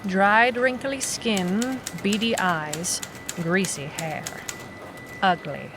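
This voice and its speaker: An actress playing a terse, suspicious female private eye with no memory, deadpan in a noir audio drama. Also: mid-range voice, very slow, background noise. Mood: grim deadpan. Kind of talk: noir detective audio drama, narration and dialogue. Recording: music playing behind the voice. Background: music.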